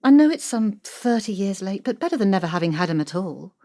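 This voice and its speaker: A woman's voice: mid-range voice, very animated, warm tone. A cutting, proud middle-aged woman, swooping intonation. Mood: dismissive. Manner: brisk, assertive, dismissive. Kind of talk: audio drama acting.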